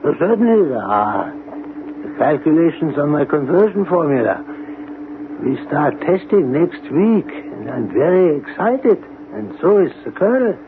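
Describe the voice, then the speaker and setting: An arrogant, eccentric older scientist in a 1970s radio drama. He speaks slowly and pompously, utterly sure of himself. The sound is thin and narrow, with clear noise over it. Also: fairly high pitch, big pitch swings. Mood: smug, cheerful. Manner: pompous, deliberate. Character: eccentric, arrogant older genius scientist, smugly self-assured. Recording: noisy, narrow-band 1970s radio recording.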